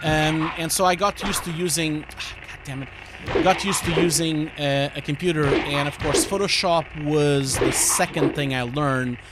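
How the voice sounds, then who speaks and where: A man's voice: fairly high pitch, moderate pace, very noisy. A man of about forty from Portugal with a Portuguese accent, chatting casually and ramblingly on a livestream while gaming and answering viewers. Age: 40s. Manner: rambling, easily distracted, informal with swearing. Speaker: livestream host, visual effects artist.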